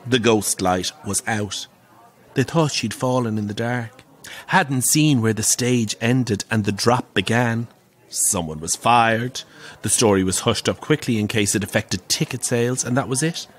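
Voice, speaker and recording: An actor playing a camp, wry, middle-aged Irish pantomime dame who relishes telling grisly ghost stories in an audio drama. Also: male voice, mid-range voice, measured pace, close to an everyday voice. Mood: ominous, relishing. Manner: theatrical, wry, relishing the tale.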